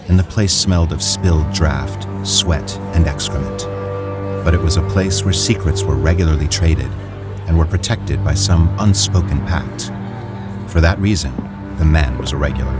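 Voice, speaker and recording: A man narrating an audio drama as a measured, atmospheric storyteller. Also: very deep voice. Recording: loud music under the voice.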